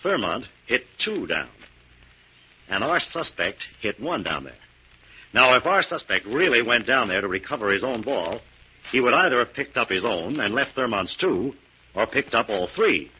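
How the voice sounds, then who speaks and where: An actor playing a confident, take-charge American crime-fighter in 1940s radio style, brisk and authoritative, on a muffled old recording. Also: male voice, mid-range voice, big pitch swings, bright, forceful tone, husky, exaggerated voice. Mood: assured.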